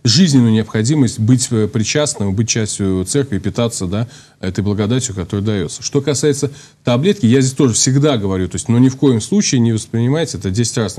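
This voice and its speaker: A man's voice: fairly low pitch, animated, fast talker, mellow. A man in his thirties, a priest, explaining at length on a studio talk show, thinking aloud with many restarts and fillers. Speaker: priest guest. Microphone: lapel.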